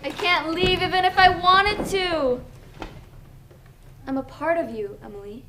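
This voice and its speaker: Woman playing high-pitched, sly inner demon. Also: unhurried, sharp tone, slight background noise, exaggerated voice. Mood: cold, knowing. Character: sly, taunting inner self haunting a young woman. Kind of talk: amateur stage drama acting.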